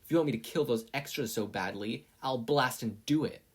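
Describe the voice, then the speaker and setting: Man playing a surly, husky, hot-headed teenage boy. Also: medium pitch, fairly quick, close to an everyday voice. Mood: irritated.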